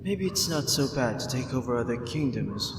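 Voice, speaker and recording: A man playing a dorky young dark fantasy prince, by turns pompous and awkwardly kind, in a scripted audio roleplay. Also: medium pitch, expressive, normal speed, natural voice. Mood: gentle, apologetic. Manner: grandiose monologue giving way to awkward, hesitant talk. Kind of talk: scripted ASMR roleplay acting. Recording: echoey hall, distant, noisy ambience.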